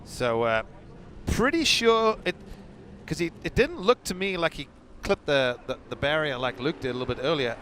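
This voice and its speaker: A man's voice: fairly high pitch, sing-song, moderate pace, a bit bright. A man giving chatty color commentary on an off-road truck race at an outdoor track. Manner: chatty, jokey banter. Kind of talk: live motorsport commentary. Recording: engine noise from outdoor track. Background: racing trucks and engines.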